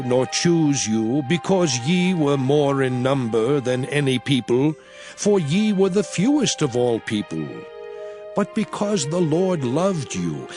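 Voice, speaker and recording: A man's voice: medium pitch, a little mellow. A man playing a solemn, commanding Hebrew prophet, preaching God's law in a grave, declamatory dramatized scripture reading. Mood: solemn, exhorting. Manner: grave, declamatory, deliberate phrasing.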